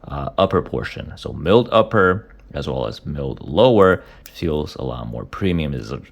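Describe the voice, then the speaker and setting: A man with a cold, his voice deep and raspy, casually reviewing watch parts with many hesitant fillers. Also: expressive, normal speed. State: hoarse from a cold.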